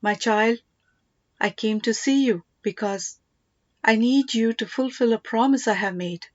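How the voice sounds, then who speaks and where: A woman voicing a gentle, devout queen mother in a storybook, measured and solemn. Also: a little high, dull audio, lightly acted. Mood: solemn pleading.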